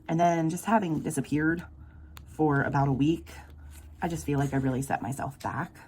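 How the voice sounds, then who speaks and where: A woman with a sore, scratchy throat, quietly counting bills aloud in a casual budgeting vlog. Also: sits low, normal speed. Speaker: budgeting vlogger. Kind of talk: casual cash-stuffing walkthrough.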